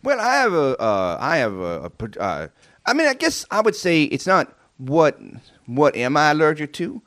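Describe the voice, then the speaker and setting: A man's voice: fairly high pitch, huge pitch swings, moderate pace, fairly bright tone, no room sound, clean studio sound. A man voicing a rambling, eccentric chef with an in an improvised comedy interview, stammering and insistent. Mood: insistent, defensive. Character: rambling eccentric Creole chef promoting his allergy cookbook.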